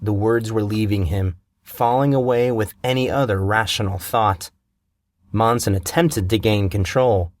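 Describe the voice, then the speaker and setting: A man narrating a fantasy novel in a measured, steady storytelling manner, recorded on a close mic. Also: lowish voice, dry recording.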